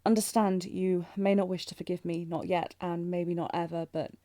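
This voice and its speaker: A woman's voice: fairly low pitch, little pitch movement, normal speed, warm tone, no room sound, studio quality, lightly acted. A woman playing a tender, anxious young princess in a romantic audio drama, speaking formally and hesitantly with sighs. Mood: sorrowful, tender. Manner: formal archaic diction, hesitant, breathy sighs. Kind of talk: scripted romantic fantasy audio roleplay, intimate.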